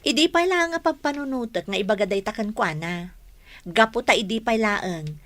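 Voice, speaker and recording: A woman's voice: mid-range voice, animated, normal speed, fairly bright tone, lightly acted. An actress playing a worried, agreeing young woman friend in an Ilocano radio drama, with an Ilocano accent. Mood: concerned.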